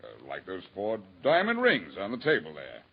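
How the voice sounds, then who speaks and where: An actor playing a breezy, wisecracking reformed crook in a 1940s radio drama. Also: male voice, on the low side, huge pitch swings, normal speed, breathy edge, exaggerated voice. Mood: sly. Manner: breezy, wisecracking, sings to pass a message. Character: wisecracking reformed crook hero, adult man. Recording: lo-fi old radio broadcast.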